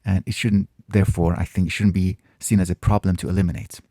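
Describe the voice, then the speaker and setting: A man whose first language is Farsi, hosting a casual Q&A and answering viewer questions in a thoughtful way, often pausing and restarting his phrases. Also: low voice, flat delivery, dark tone, dry recording, clean studio sound. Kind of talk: casual reflective Q&A monologue.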